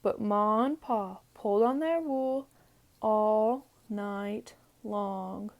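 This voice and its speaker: A woman narrating a children's story from a script in a measured, deliberate way. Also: mid-range voice. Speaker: storybook reader.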